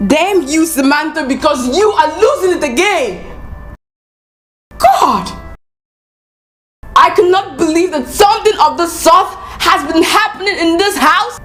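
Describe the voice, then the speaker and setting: A woman's voice: extremely high pitch, lively intonation, a little slow, pauses often, a bit bright, faint music behind, heavily acted. A woman playing a reproachful, scolding friend. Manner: reproachful, sarcastic. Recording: echoey room.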